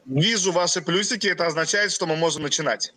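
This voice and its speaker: A young man from Russia, a company president giving a webinar in short phrases and pausing for his interpreter. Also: on the high side, sharp tone, no echo. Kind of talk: webinar presentation.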